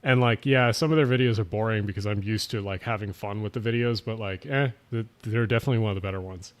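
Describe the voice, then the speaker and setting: A man's voice: lowish voice, fast talker. A man with a South Jersey accent, slightly tipsy, rambling casually as he hosts a late-night stream and answers chat with lots of fillers and restarts. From South Jersey, USA. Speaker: livestream host.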